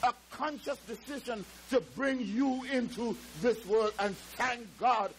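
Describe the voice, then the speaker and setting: A man's voice: very high voice, a little hiss or hum, rough recording. Older man preaching emphatically. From Trinidad. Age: 70s. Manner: emphatic, drawn-out preaching with shouted exclamations; moves and gestures around the pulpit. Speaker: pastor. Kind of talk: sermon. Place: church stage with drum kit. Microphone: headset mic.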